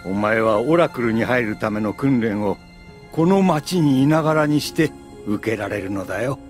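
A man's voice: mid-range voice, animated, moderate pace, close to an everyday voice. An actor playing a dignified, patient elderly grandfather in an anime audio drama, speaking formally. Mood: explanatory. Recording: music behind the voice.

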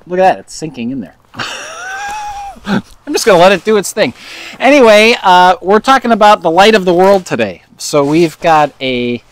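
Chatty pastor in the snow. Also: male voice, high-pitched, big pitch swings, normal speed, slight background noise. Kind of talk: casual church welcome. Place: snowy backyard patio, outdoors.

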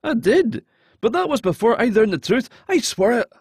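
A man's voice: very high-pitched, big pitch swings, normal speed, a little mellow, breathy edge, no echo, clean studio sound, voice pushed up, exaggerated voice. A man voicing a frightened, remorseful male deserter in an audiobook, earnest and pleading. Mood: earnest, anxious.

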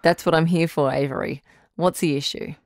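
A woman's voice: low-pitched, steady tone, moderate pace, gravelly, no room sound, studio quality. A woman playing a frank, reassuring doctor who hosts a radio call-in advice show, encouraging and matter-of-fact in a conversational style. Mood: cheerful, welcoming.

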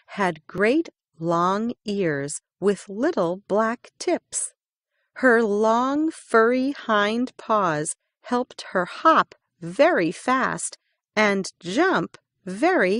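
A woman reading a children's picture book aloud, slow and expressive. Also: medium pitch, dry recording, clean studio sound. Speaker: reader.

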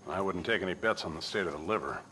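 An actor playing a jaded, wisecracking senior coroner in a video game cutscene, dry and clinical in manner. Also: male voice, low-pitched, quick pace, bright, forceful tone, a little hiss or hum.

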